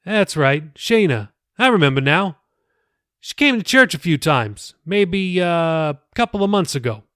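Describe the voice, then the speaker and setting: Storybook pastor, read by a man: middle-aged, kindly, folksy and hesitant, pitched up, close dry sound. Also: expressive, unhurried, fairly bright tone, dry recording. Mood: affable. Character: kindly middle-aged male pastor, chatty, gently teasing.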